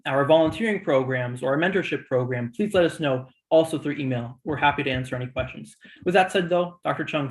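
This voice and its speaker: A man's voice: a little high, rough recording. A man hosting an online session, giving informal introductions and housekeeping announcements. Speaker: host of a virtual student shadowing session.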